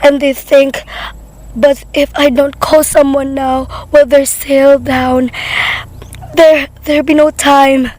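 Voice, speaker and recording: A woman's voice: high-pitched, flat delivery, slow, a little mellow. A woman playing a nervous, demanding invalid wife alone at home in a radio play, with an imperious, fretful manner. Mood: terrified, pleading.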